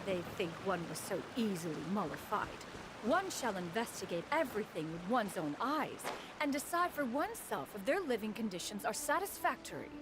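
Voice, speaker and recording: A woman's voice: medium pitch, big pitch swings, normal speed, background noise, natural voice. A woman voicing an ancient female crane spirit in human form who speaks in a formal, archaic way, over game music. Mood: stern. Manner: formal archaic speech, refers to herself as "one". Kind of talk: video game cutscene voice acting.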